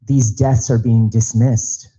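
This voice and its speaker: A man's voice: medium pitch, flat delivery, slow pace, dark tone, husky, lo-fi sound. A man on a party committee giving an earnest speech at a public meeting in a large auditorium, repeating phrases as he goes.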